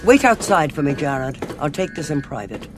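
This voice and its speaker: A woman's voice: deep voice, sing-song, normal speed, music in the background. Imperious female commander. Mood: brisk, composed. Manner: commanding, clipped, haughty. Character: brisk, imperious female alien cardinal, military commander. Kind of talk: audio drama acting.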